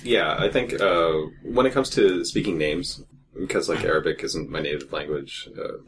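A man with a very deep voice and a gravelly edge answers questions about acting a role. He speaks hesitantly but easily. A faint hiss sits behind him. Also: moderate pace, a bit bright. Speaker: actor in an audio drama, not a voice actor. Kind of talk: casual interview answers.

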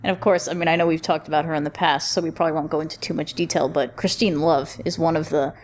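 A woman's voice: deep voice, fairly even intonation, fast talker, a little hiss or hum. A woman hosting a casual roundtable chat in an informal, jokey, slangy way. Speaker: podcast roundtable host.

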